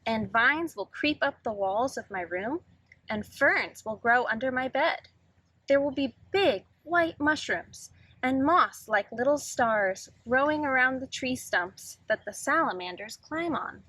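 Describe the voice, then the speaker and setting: Woman reading as an eager little boy, high-pitched. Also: expressive, measured pace, bright, forceful tone, husky, dry recording, exaggerated voice, rough recording. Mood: eager, dreamy. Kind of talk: children's picture-book read-aloud.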